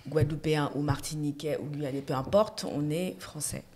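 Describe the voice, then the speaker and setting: A woman in her forties, born in France, chatting at a round-table podcast, laughing often and filling pauses with hesitations. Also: low-pitched, darkish tone. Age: 40s. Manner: laughs often, many fillers. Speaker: podcast guest. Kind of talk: casual round-table chat.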